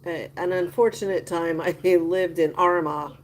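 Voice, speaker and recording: An older woman hosting a livestream, chatting with viewers and telling rambling personal stories with many pauses and fillers. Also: on the low side, steady tone, slight background noise, poor audio. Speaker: livestream host. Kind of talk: casual livestream chat answering viewer comments.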